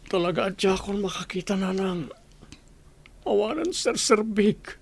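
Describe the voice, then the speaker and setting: A man's voice: sits high, animated, unhurried, vocal fry, heavily acted. An actor playing a troubled husband and father in a village radio drama, speaking in a weary, emotional way.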